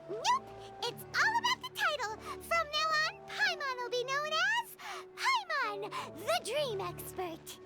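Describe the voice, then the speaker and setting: A tiny, boastful fairy companion chatters in a very high, excitable voice that leaps up and down, always speaking of herself by name. Loud game music plays underneath. It's a polished video game performance. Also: female voice, a little slow, few pauses, heavily acted. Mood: chirpy.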